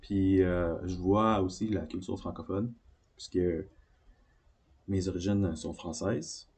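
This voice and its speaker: Low-voiced, hesitant Quebec French man. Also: a little mellow. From Beauce, Quebec, Canada. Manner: hesitant, halting, searching for words. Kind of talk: unscripted personal monologue, rambling reflection.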